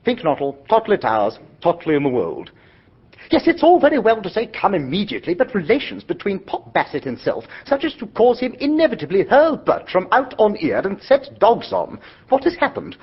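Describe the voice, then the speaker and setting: A breezy young gentleman, played by a man, tells his own story in a jaunty, sing-song way. His husky voice swoops widely. The radio-drama recording sounds dull and lo-fi. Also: high voice, huge pitch swings, a little fast, exaggerated voice. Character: young English gentleman, breezy, flustered, narrating.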